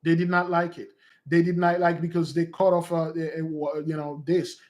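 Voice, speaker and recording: A man speaks in a somewhat high voice, teaching his listeners in a loose, unscripted way. He circles back and repeats himself as he goes. He calls his audience "beloved brothers and sisters" like a preacher. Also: flat delivery, normal speed, a little mellow. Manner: rambling and repetitive, addresses listeners as beloved. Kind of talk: informal teaching monologue.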